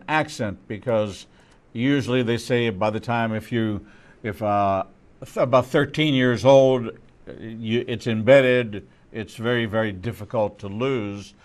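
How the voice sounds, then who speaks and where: A low-voiced man hosts an interview, asking his questions at a very slow pace. He halts and repeats words as he gathers his thoughts. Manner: slow, halting, repeats words while searching. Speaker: rabbi host.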